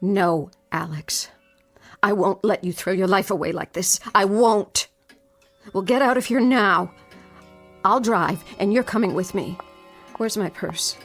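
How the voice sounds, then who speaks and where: A woman's voice: medium pitch, unhurried, pauses often, faint music behind, lightly acted. An actress playing a determined, frightened fiancée in a radio drama, intense and dramatic, drawing out her phrases. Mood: defiant, confrontational. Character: determined, frightened long-waiting fiancée, pleading then defiant.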